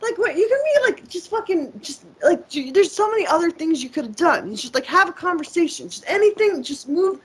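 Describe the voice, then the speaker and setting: A woman's voice: very high voice, animated, a little fast, few pauses, poor audio. A woman guest in a casual interview, talking in a rambling, profane way with lots of fillers and restarts. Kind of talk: casual conversation.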